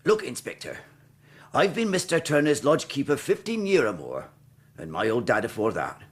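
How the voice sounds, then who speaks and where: An actor playing a loyal, resentful country lodge keeper, rough-voiced and indignant, with a rural English accent in a radio drama. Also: male voice, mid-range voice, very animated, moderate pace. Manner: rustic, indignant.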